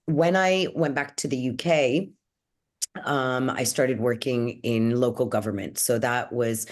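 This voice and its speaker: A woman's voice: very deep voice, measured pace. A woman from Egypt, a yoga teacher, speaking earnestly as an interview guest, often restarting her sentences. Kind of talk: reflective interview talk.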